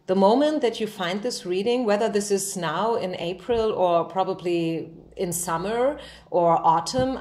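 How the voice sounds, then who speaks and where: A woman's voice: lowish voice, a little mellow. Woman giving a tarot reading. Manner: direct, reassuring, addresses listener with frequent check questions. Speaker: tarot card reader. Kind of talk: informal spoken tarot reading to viewers.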